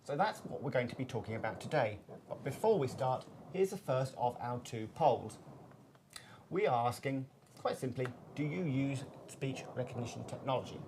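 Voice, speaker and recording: A man presents as the host of a business web seminar. His mid-pitched voice delivers scripted introductions and questions, with some noise behind it. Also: animated, normal speed, darkish tone.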